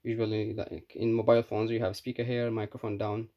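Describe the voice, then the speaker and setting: A man giving a casual, unscripted hands-on product review in a halting, matter-of-fact way. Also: lowish voice, monotone, dull audio.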